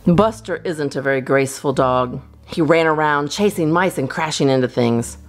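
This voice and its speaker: A woman reading a children's storybook aloud as a storyteller, in an expressive, animated style. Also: low voice, normal speed, faint music behind. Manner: expressive, acts out dialogue. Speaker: storyteller, channel host.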